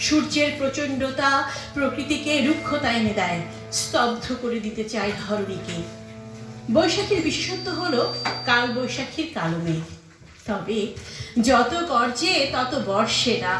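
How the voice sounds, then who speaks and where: A woman narrates in a poetic, scripted style. Her voice is fairly high and sounds distant in a very echoey space, with music playing behind her. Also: lively intonation, measured pace.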